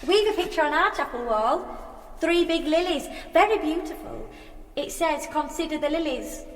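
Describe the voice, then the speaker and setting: A woman's voice: high voice, expressive, moderate pace. An actress playing a chatty, blunt and inquisitive country girl with a Yorkshire accent in a radio drama. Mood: chatty, curious. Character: forthright chatty country girl, stationmaster's daughter. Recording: echoey church hall, music behind.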